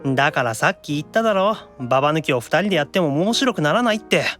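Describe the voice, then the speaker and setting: Sulky teasing demon youth, played by a man, animated pitch, quick retorts, anime radio drama with music underneath. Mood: exasperated. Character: Leviathan, sulky teasing young male demon who loves games. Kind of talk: live anime-style audio drama acting.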